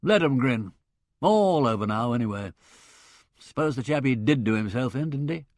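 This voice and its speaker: A man's voice: medium pitch, lively intonation, moderate pace, dry recording, clean studio sound, close to an everyday voice. A man doing a blustering country squire. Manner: hearty, boorish, blustering. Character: blustering middle-aged English country squire.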